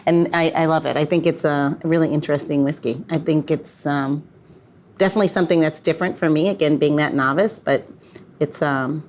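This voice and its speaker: A woman hosting a casual conversation, appreciative and inquisitive, feeling her way through her thoughts in a halting manner. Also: low voice, fairly even intonation, a little mellow, a little hiss or hum. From American Midwest. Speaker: podcast host. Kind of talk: casual chat.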